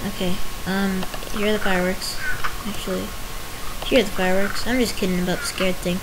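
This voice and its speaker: A woman's voice: mid-range voice, flat delivery, fairly quick. A young woman giving a rambling, self-interrupting commentary while touring a game world she built. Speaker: Minecraft video host. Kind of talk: casual gameplay tour commentary. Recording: hissy home recording.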